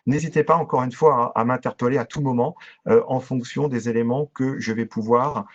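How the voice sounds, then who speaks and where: The sound is slightly dull, like a video conference. A man with a medium-pitched voice presents training material in a formal, methodical way. He halts often, stretching filler sounds as he works through each explanation. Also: fairly even intonation. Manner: very hesitant, halting delivery with constant drawn-out filler sounds. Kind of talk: explanatory online presentation to local councillors. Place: video conference with shared screen. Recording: dull, lo-fi video-call audio.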